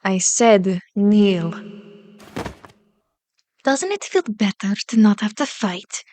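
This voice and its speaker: A woman's voice: medium pitch, animated, darkish tone, a little hiss or hum. A woman playing a powerful, manipulative female vampire in an ASMR roleplay, seductive and condescending, slipping into whispers as she commands and coaxes. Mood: taunting, commanding. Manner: slow, commanding, mock-sweet taunting with whispered words.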